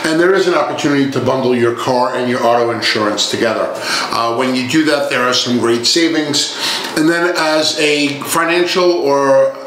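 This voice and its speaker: A man's voice: medium pitch, moderate pace, fairly bright tone. A middle-aged man explains services like an insurance agent, polite and businesslike in conversation. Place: small meeting room, indoors. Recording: very echoey room, faint background noise.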